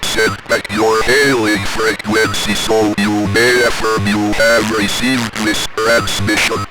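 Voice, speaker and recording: An automated machine voice reading out transmission notices in a cold, impersonal, halting robotic manner. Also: medium pitch, over loud music, lightly acted. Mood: impersonal, emotionless. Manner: flat, halting, robotic phrasing. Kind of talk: automated scripted announcement.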